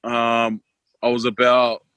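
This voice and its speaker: A man's voice: mid-range voice, completely flat delivery, slow pace, fairly bright tone, clear, resonant tone, no room sound. A man in his twenties from Queensland with an Australian accent, a podcast guest talking casually, laid-back with lots of fillers. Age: 20s. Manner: laid-back, many fillers, laughs easily. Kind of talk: casual interview talk.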